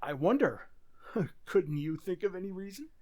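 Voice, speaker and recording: A man's voice: high voice, sing-song, normal speed, darkish tone, no room sound. A man playing a troubled, suspicious husband, hesitant and brooding, in a radio mystery drama. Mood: suspicious, menacing.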